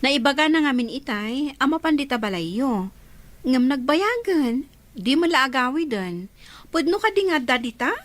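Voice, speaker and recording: A woman's voice: high voice, animated, normal speed, fairly bright tone. A woman with a Filipino accent playing a caring, anxious mother in a radio drama. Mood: polite, concerned.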